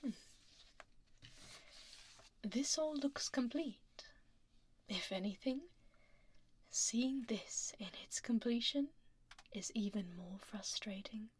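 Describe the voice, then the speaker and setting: Girl doing a powerful dragon lady ceo for asmr, kinda high soft breathy voice, politely menacing, takes her time, super close mic. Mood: cool, inquisitive. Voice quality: soft, breathy, half-whispered. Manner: deliberate, controlled, politely menacing office speech. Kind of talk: ASMR roleplay. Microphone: close binaural microphone.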